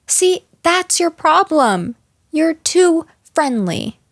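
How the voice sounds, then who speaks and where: A woman's voice: extremely high pitch, very animated, no echo, clean studio sound, exaggerated voice. A woman playing a jealous, possessive, unhinged girlfriend in an intimate roleplay audio drama, taunting the listener with condescending sweetness and menace. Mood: jealous, incensed. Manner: slow, taunting, condescending pet names, slipping into breathy whispers.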